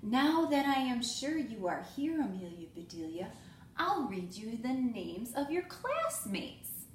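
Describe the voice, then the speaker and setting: A patient, cheerful primary school teacher, played by a woman reading a storybook aloud. She gives instructions slowly, with a lively rise and fall, in a roomy, echoing space. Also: fairly high pitch. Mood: instructing. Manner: drawn-out, instructive. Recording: roomy echo, clear background noise.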